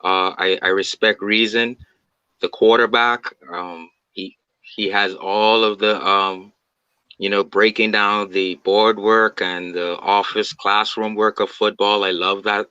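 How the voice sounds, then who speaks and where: A polite man from the Bahamas joins over a video call, voice low, bright and smooth. He talks haltingly, full of "um"s. The audio is dull and lo-fi with a dry, close sound. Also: little pitch movement, no room sound. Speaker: guest caller. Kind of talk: casual fan chat.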